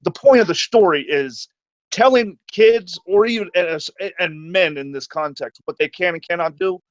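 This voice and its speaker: A man's voice: high voice, moderate pace, no room sound, dull audio. A man hosting a live stream, talking bluntly with some swearing and stuttering when he speaks off the cuff. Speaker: stream host. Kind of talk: casual chat.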